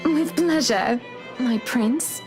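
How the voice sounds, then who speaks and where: A rich young wife in a visual novel, poised and possessive. Her voice is high, cloying when she dotes and haughty when she commands, over faint music. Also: takes it slowly. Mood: doting.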